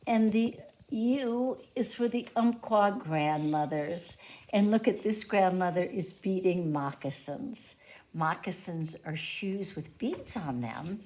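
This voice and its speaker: A woman teacher reading a picture book aloud to young children, deliberately, adding simple explanations as she goes. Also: on the low side, a little mellow, a little hiss or hum.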